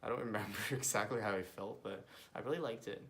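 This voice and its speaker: A man's voice: lowish voice, quick pace, fairly bright tone. A man, answering interview questions in an earnest, plain way. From Korea. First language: Korean. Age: 20s.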